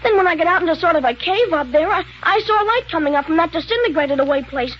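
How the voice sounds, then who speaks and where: Muffled old radio serial, woman as eager little boy, very high pitch, polite, earnest, noisy recording. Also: animated, fast. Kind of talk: 1930s radio serial acting.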